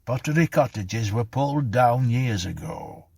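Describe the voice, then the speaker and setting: A man voicing a kindly, weary policeman in a storybook, blunt and gently scolding. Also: lowish voice, sing-song, a little slow, breathy edge, no room sound, studio quality, heavily acted. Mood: concerned. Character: kindly, weary male policeman on night beat.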